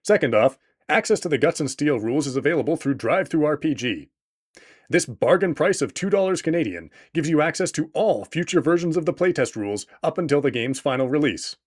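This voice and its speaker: A man's voice: fairly high pitch, expressive, fairly quick. A man reading scripted promotional narration as a game creator presenting an introduction, with a playful, tongue-in-cheek manner.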